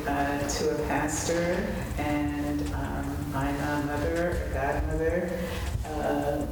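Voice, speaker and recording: Lively woman doctor in an echoey hall. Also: sits low. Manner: lively, direct, engages the room with repeated questions. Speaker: physician and panelist. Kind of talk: community panel talk. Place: large hall with an audience. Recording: echoey hall, distant mic, noisy.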